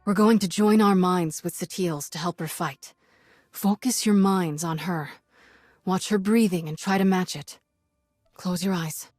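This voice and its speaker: An actress playing a compassionate Jedi woman in a video game, soothing and measured. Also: on the low side, a little mellow, no echo, studio quality, soft background music. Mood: calm, guiding. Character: calm, compassionate Jedi woman leading meditation. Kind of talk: dramatic game acting.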